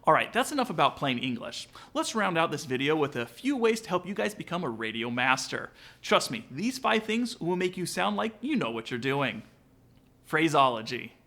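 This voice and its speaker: Animated man hosting a tutorial vlog. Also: on the high side, normal speed. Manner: casual, direct address to viewers. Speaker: aviation vlog host, student pilot. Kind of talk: upbeat scripted tutorial narration with sponsor read.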